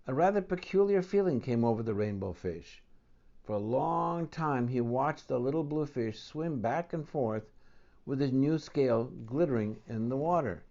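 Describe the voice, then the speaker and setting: A man's voice: mid-range voice, animated, normal speed, gravelly, dark tone, muffled recording. A man reading a picture book aloud for children as a storyteller and host.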